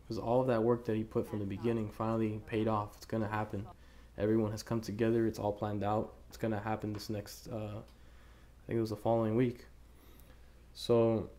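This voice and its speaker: A man's voice: lowish voice, darkish tone. A man who works as a camera operator, recalling a shoot in a casual, informal interview. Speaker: behind-the-scenes camera operator.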